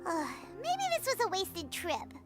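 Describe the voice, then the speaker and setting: A woman voicing a tiny childlike floating companion in an anime video game, squeaky, excitable and blunt, with game audio behind. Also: unhurried, exaggerated voice. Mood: grumbling. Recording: game audio under music. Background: game music.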